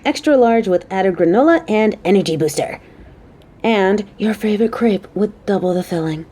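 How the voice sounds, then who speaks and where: Woman acting a playful, motherly coworker with mellow voice. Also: medium pitch, natural voice. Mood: pleased, affectionate.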